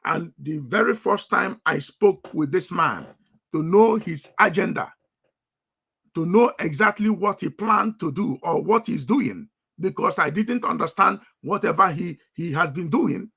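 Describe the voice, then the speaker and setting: A middle-aged man broadcasting live, commanding and forceful, repeating himself for emphasis. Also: high-pitched, animated, measured pace, vocal fry, husky.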